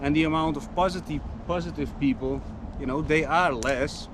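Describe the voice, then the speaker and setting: A man's voice: a little high, normal speed, noisy background. A man from the Netherlands, talking casually to camera in a rambling way, often restarting and searching for his words. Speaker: vlogger.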